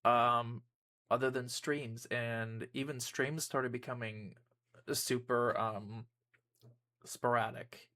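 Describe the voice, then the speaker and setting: A man's voice: medium pitch, steady tone, slow pace, bright, forceful tone. A young man, a YouTuber and streamer, giving a casual personal update to his viewers, rambling with frequent ums and restarts.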